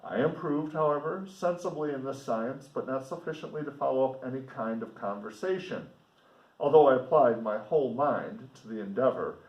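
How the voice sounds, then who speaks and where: Man, medium pitch, teacher reading aloud, deliberate, explanatory, casual asides, roomy echo. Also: a little mellow. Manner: deliberate, explanatory, pausing to comment for students. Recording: roomy echo, distant microphone.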